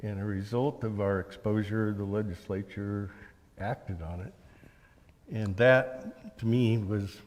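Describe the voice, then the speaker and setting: An American man in his seventies talking as an interview guest, recounting his work and memories in a measured, halting way. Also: fairly low pitch, steady tone, a little mellow. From Oregon, United States. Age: 70s. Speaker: interview guest, author and journalist. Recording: long hall reverb.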